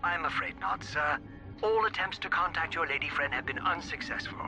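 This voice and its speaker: Dry deadpan robot butler, as a man voices him. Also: on the high side, a little fast, natural voice. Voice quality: dry, polite, deadpan sarcasm. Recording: game music under the voice.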